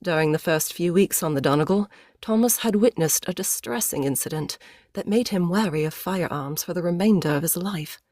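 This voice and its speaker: Lady narrating an audiobook, voice a bit low, really dry recording. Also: a little fast, a little mellow, dry recording. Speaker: narrator reading a novel aloud. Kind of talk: scripted audiobook-style narration.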